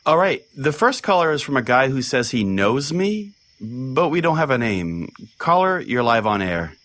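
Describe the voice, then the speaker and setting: A man's voice: medium pitch, very animated, moderate pace, fairly bright tone. A man playing a brash, foul-mouthed pastor, telling a comic story in the first person as a podcast host. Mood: announcing. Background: prominent music.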